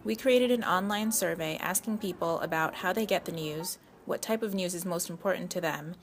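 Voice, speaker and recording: Woman narrator, scripted, lowish voice, even read-aloud, faint hiss. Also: normal speed. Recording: faint background noise.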